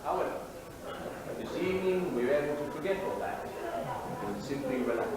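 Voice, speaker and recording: A man's voice: a little high, expressive, a little fast, very noisy. A man narrating a theatrical prologue on stage in a formal, lecturing way, with hesitant fillers between sentences. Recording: roomy live stage recording.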